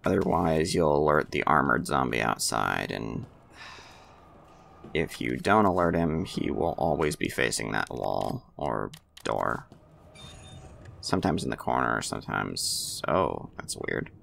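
Very deep, gravelly man commentating gameplay. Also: big pitch swings, a little slow, a bit bright, slight background noise. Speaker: gamer, walkthrough commentator.

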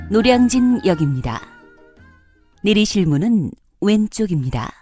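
A woman's voice: a little high, a little mellow, dry recording. A woman reading scripted subway announcements in a formal, polite, carefully enunciated way. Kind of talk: scripted public transit announcement.

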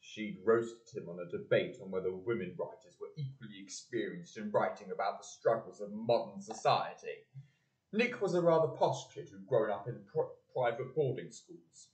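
Lively young man as a male storyteller, echoey room. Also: on the low side, normal speed, natural voice. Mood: measured, wry. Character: storyteller narrator of a British period drama. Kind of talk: scripted narration. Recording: echoey hall.

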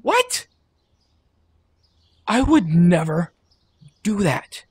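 A woman's voice: on the low side, big pitch swings, drawn-out words, darkish tone, breathy edge, no room sound, heavily acted. An actress playing a brash, cocky, foul-mouthed boy in an audio drama. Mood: indignant. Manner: brash, defiant.

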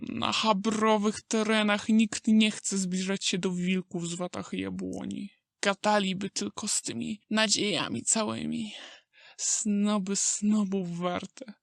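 Woman playing a slow, creaky old scheming wolf. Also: mid-range voice, dry recording, studio quality. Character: old scheming male wolf, drug dealer, smooth and contemptuous. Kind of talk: Polish amateur audio drama acting.

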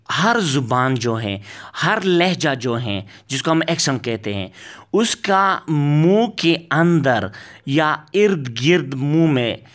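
A man teaching from slides, talking slowly with many hesitations and reading English lines out very slowly. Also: on the high side, a bit bright. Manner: slow, halting, many "uh" fillers. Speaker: accent teacher. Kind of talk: slide lecture.

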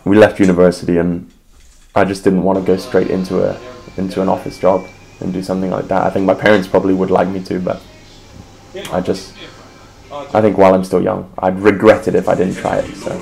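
A man's voice: deep voice, monotone, fairly quick, vocal fry, a little mellow, faint music behind, poor audio. A man in his twenties from England with an English accent, talking casually and a little hesitantly in an interview. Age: 20s. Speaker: aspiring restaurateur and home cook.

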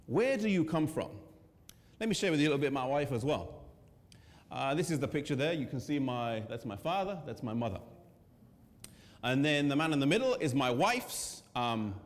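A man in his thirties with an English accent, preaching in a conversational way with pauses and fillers. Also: mid-range voice. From England. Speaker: pastor. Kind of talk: sermon presentation. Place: church hall with a seated audience. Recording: long church hall reverb.

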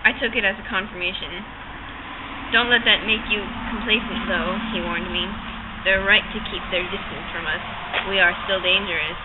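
A woman's voice: mid-range voice, steady tone, normal speed. A young woman giving a theatrical, informal read-aloud of a novel, often breaking into giggles. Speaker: amateur reader. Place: open-sided park picnic shelter outdoors. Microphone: camcorder across a picnic table. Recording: tinny phone-like audio, noisy outdoor picnic shelter.

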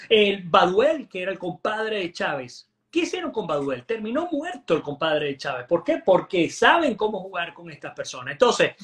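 A man's voice: high-pitched, fairly bright tone. A man, giving emphatic political commentary as a guest on a video call, often repeating words as he restarts. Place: home room indoors. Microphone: video call webcam.

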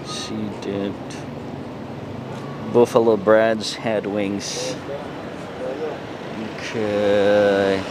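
A man with a Filipino accent narrates a night walking tour outdoors, talking haltingly with many fillers into a handheld camera mic. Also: lowish voice, level tone. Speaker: vlogger giving a walking tour. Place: busy city street at night, outdoors. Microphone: handheld camera mic while walking. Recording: loud street traffic noise. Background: loud traffic and street noise.

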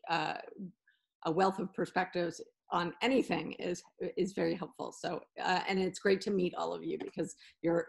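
Woman panelist, low gravelly husky voice, casual video-call self-introduction. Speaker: community company founder.

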